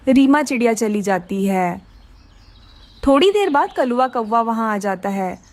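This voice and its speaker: High, animated female storyteller. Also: a little slow, no echo. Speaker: narrator. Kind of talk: scripted children's story narration.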